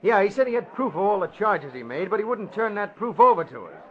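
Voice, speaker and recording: An actor playing a brisk, slangy, keen newspaper reporter in a vintage radio drama. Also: male voice, high voice, very animated. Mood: brisk, eager. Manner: keen, matter-of-fact, slangy. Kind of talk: 1940s radio acting. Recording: dull vintage radio recording.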